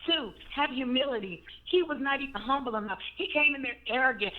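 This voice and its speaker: Female caller, hoarse and somewhat high-voiced, outspoken and indignant, narrow telephone audio. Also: a little fast. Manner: blunt, indignant. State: hoarse after surgery. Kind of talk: opinionated phone-in commentary. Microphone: phone call. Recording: muffled phone line.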